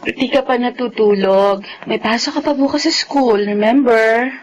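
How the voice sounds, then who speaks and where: A woman with a Filipino accent playing a tender, soft-spoken single mother soothing a small child in a radio drama. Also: on the high side, moderate pace, a little hiss or hum, natural voice, rough recording.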